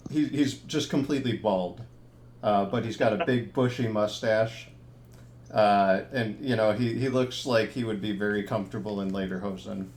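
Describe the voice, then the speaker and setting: A man with a somewhat low voice running a tabletop horror game. He narrates loosely and casually, stopping for ums and restarts as he describes scenes. His tone stays easygoing throughout. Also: a little mellow, slight background noise. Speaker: game master.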